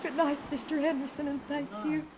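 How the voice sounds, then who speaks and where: A woman's voice: high voice, little pitch movement, brisk, noisy background, natural voice. A woman acting in a live old-time radio drama as an anxious, distraught woman searching for a missing little girl. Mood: weary, fearful. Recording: live stage with music underneath.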